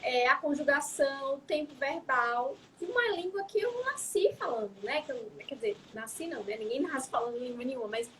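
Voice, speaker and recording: A woman's voice: sits high, animated, fairly quick, hardly any pauses, a bit bright, a little hiss or hum, low-quality recording. A young adult woman, a psychologist, talking in a casual interview, reflective and often adding tag questions. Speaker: psychologist guest.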